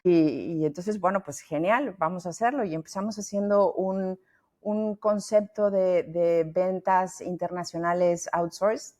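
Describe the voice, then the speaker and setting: A talkative middle-aged woman with a Mexican Spanish accent, speaking as a podcast guest. Her voice sits fairly low and sounds warm and mellow. She restarts her sentences often. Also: measured pace. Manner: lively, talkative, many restarts. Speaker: founder and guest. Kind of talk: podcast interview.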